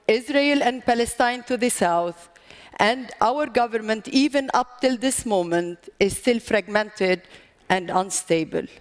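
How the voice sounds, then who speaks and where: A middle-aged woman with a Lebanese accent delivers a prepared speech on stage in a large hall. She speaks slowly and deliberately, setting short phrases apart with pauses for emphasis. Long reverberation trails her voice. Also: mid-range voice. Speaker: public speaker, marathon organizer.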